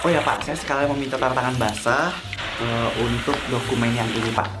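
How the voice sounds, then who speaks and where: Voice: medium pitch, steady tone, brisk, lightly acted. A performer playing a young female secretary, flustered and resentful, with colloquial Jakarta Indonesian in a scripted office drama. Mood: deferential, flustered. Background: music and cooking sounds.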